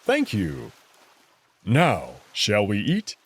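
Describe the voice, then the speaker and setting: A man voicing a proud, confident young alien wolf prince in a visual novel, friendly but full of hubris, speaking with expressive self-assurance. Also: fairly low pitch, drawn-out words, breathy edge, full character voice. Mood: casual.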